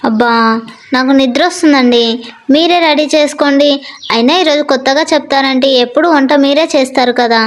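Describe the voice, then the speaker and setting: Lazy, dismissive young village wife , acted by a woman, whiny and fairly high, for moral-story dialogue. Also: fairly quick, natural voice. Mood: whiny, irritated. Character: lazy, sleepy young village wife who bosses her husband.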